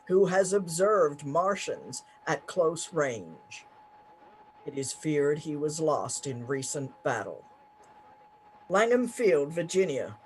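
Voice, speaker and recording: A man's voice: high voice, full character voice, poor audio. A man playing an urgent, formal news announcer reading bulletins in a 1930s-style radio play.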